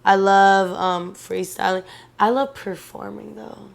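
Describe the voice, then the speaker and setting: A young woman hosts a relaxed podcast chat, speaking easily and filling her sentences with "like". Her voice sits at a middle pitch for a woman. She sounds casual and conversational with her guest. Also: a little slow, creaky. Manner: relaxed, chatty, uses "like" often. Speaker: podcast host.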